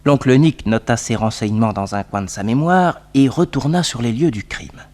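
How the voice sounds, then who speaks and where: A man narrating a detective radio serial in a measured, scripted storytelling style. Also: medium pitch, a little mellow, close to an everyday voice. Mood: scene-setting.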